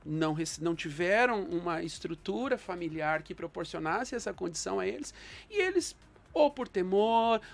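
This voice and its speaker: A man, a guest psychologist on a panel, speaking in a measured, reflective way with frequent fillers. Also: high voice, gravelly. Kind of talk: thoughtful panel discussion.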